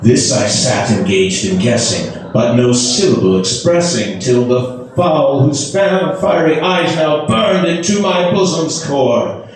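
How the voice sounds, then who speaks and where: A man giving a theatrical, drawn-out dramatic reading of gothic verse, with emphatic pauses. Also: medium pitch, animated. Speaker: amateur poetry reader. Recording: echoey room, distant from the mic.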